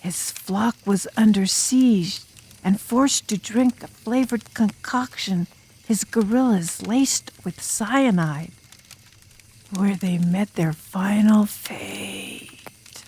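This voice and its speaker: Casting: woman as enigmatic drum major spinning horror tales by the fire; unhurried, breath-roughened, menacing, dramatic audiobook delivery. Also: mid-range voice, lively intonation, a little mellow, heavily acted, poor audio. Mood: ominous. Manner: ominous, drawn-out, dramatic, sometimes dropping to a whisper. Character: enigmatic drum major student telling a campfire demon horror tale. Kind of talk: audiobook ghost story narration in character.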